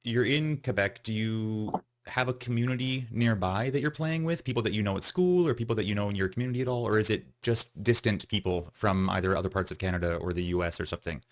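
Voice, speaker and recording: A man hosting a podcast, talking in a relaxed, friendly way with many fillers. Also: fairly low pitch, fast, few pauses. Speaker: podcast host. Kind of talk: casual interview chat.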